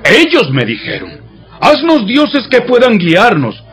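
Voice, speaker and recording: A man's voice: sits high, wildly swooping pitch, slow, fairly bright tone, faint music behind, full character voice. An actor playing Aaron as a defensive, excuse-making man in a dramatized audio Bible. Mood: defensive, placating.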